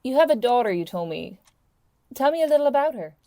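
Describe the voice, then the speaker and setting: An actress in a radio play playing a calm, professional therapist, measured, formal and reassuring as she gently probes. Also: on the high side, no room sound. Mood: composed, reassuring.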